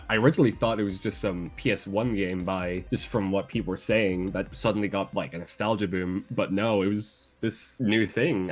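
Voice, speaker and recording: A young man with a fairly low voice speaks as a guest in a casual interview. His talk is informal and earnest, with an enthusiastic way of explaining things. Music plays softly behind him.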